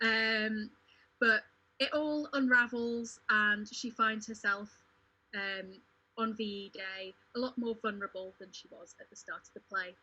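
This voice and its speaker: Slow-paced, bright woman, lo-fi. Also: on the high side, fairly even intonation. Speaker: cast member on a panel. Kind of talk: casual panel chat.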